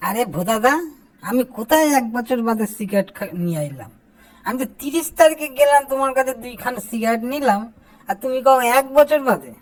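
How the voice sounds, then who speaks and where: A woman's voice: a little high, lively intonation, fairly quick, lightly acted, rough recording. A woman playing a cheeky, put-upon young lad in an amateur comic cartoon, indignant and complaining in an eastern Bengali accent.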